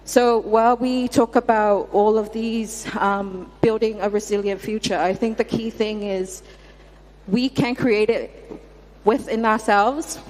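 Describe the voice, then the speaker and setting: A woman's voice: medium pitch, fairly even intonation, unhurried, a little mellow. Hesitant woman from Samoa. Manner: hesitant, many fillers. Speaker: conference delegate. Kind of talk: audience remarks. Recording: hall reverb.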